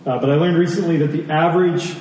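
In a very reverberant hall, picked up from a distance, a man delivers a sermon. His voice is fairly high and earnest. He teaches conversationally, repeating key phrases and posing questions to his listeners. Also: steady tone, fast talker, a little mellow. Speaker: preacher. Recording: very echoey hall, distant mic, faint noise.

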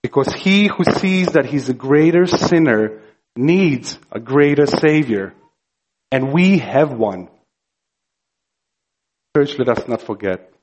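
A man from Croatia with a Croatian accent, preaching a sermon earnestly and emphatically, slow and building to fervor. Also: mid-range voice, animated, darkish tone, rough recording. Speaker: pastor.

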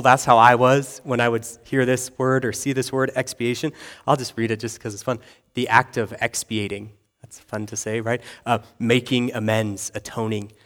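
A young adult man preaching to a congregation, conversational and self-deprecating, often checking in with "okay?" and "right?". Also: medium pitch. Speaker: pastor. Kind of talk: sermon.

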